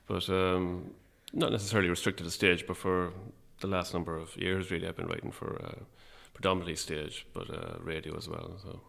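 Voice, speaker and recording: A middle-aged man with an Irish accent speaks in a very low voice with a creaky, gravelly edge. He chats informally as an interview guest, in a modest, self-deprecating way. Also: flat delivery, a little fast, hardly any pauses, a bit bright. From Ireland. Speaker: guest, playwright and actor. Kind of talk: casual interview chat.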